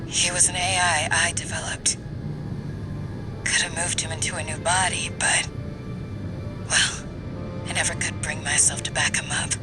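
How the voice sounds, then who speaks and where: A woman's voice: deep voice, little pitch movement, normal speed, pauses often, over loud music, natural voice. An actress playing a tough, world-weary but loyal female mercenary leader in a video game, with a dry, sardonic, casual delivery. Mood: quiet grief. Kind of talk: video game voice acting, mission briefing.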